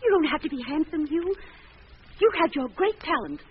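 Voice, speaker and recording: An earnest, pleading woman, animated delivery. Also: high voice, normal speed. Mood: urgent, tender. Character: earnest, pleading young woman devoted to a lost love. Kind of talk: 1940s radio melodrama acting. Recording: dull, hissy old radio recording.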